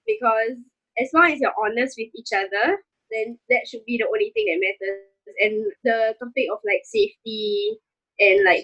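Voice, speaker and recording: A woman's voice: a little high, fairly bright tone, breathy edge, rough recording. A Singaporean woman in her twenties, speaking casually and directly as a guest on a panel, leaning on "like" and "I feel". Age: 20s.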